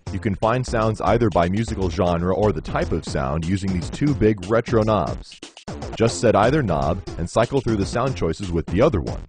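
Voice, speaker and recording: A man reading scripted promotional narration for a product demo in a polished, instructional way. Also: lowish voice. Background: synthesizer music.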